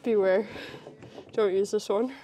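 A woman vlogging casually from a climbing gym, excited and often laughing, recorded on a camera. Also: mid-range voice, unhurried, a little hiss or hum. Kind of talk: casual vlog chat while climbing. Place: indoor climbing gym in a barn.

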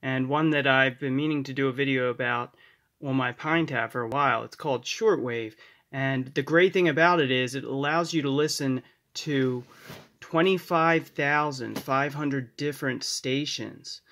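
A man's voice: medium pitch, normal speed, sharp tone. A man hosting a casual hands-on tech tips video, talking in an upbeat, recommending way and repeating his points. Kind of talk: casual hands-on app demonstration. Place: indoors at a desk.

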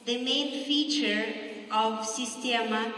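A woman giving calm, steady live commentary at a martial arts demonstration, with frequent pauses and ums. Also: on the high side. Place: large gym hall. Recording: echoey hall, far from the mic.

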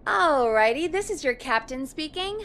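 A bubbly radio producer in a video game. Her high voice bounces up and down as she teases and instructs, with faint noise behind her. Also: expressive, normal speed, a bit bright. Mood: playful. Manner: playful, bubbly. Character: perky, teasing female radio producer. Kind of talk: game voice acting.

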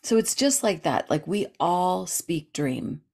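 Low-voiced animated woman guest. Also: slow pace. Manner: animated, gestures with hands while talking. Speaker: dream expert guest. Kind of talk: casual interview chat.

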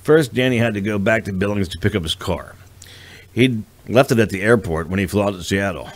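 A man's voice: lowish voice, fairly quick. A man reading a novel as a scripted audiobook narration.

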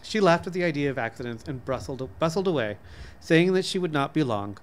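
A middle-aged man reading a short story aloud, haltingly, sometimes stumbling over words. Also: on the high side, animated. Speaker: reader. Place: small office indoors. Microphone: desk microphone, close.

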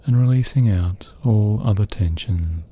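A man narrating a guided sleep meditation in a soft, breathy, soothing voice, gently hushed, with long pauses between phrases. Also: lowish voice, dull audio.

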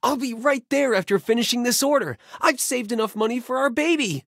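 Young male singer, playful, affectionate, boyish, animated intonation, romance game phone-call dialogue, dry recording. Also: extremely high pitch, brisk, creaky, dry recording, heavily acted. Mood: animated storytelling. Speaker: voice actor in a mobile romance game.

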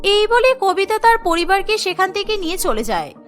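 A woman narrating a cartoon story in a plain, even storytelling manner. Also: very high-pitched, normal speed, soft background music.

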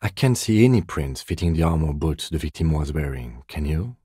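A male actor playing a composed, meticulous police detective in a video game, with a measured, restrained, dryly stern delivery. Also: a bass voice, lively intonation, warm tone, husky, no echo, clean studio sound, full character voice. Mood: measured, questioning. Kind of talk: scripted game dialogue.

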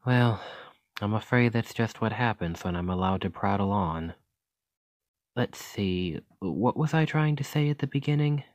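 Male actor as earnest scholar, low voice, dry close sound, gentle, hesitant, rambling, ASMR roleplay. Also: animated, measured pace, no echo. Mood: musing, rambling. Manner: hesitant, chatty, self-interrupting, gentle.

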